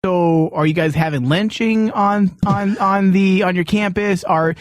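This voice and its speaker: Male talk show host: high voice, bossy and quick to cut in, very dry close sound. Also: steady tone, no echo. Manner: bossy, interrupting. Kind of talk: bantering talk show chat.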